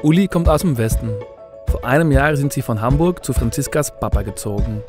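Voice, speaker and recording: A Belgian man narrates a travel documentary in the first person. His voice has a middling pitch and his accent is Belgian. Music plays clearly behind him. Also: animated, fairly quick.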